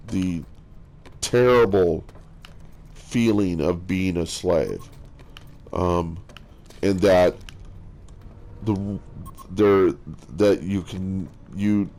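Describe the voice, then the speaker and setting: A man with a strong bass voice, chatting casually and haltingly as a streamer, with lots of fillers and restarts. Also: warm tone. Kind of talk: casual rambling chat.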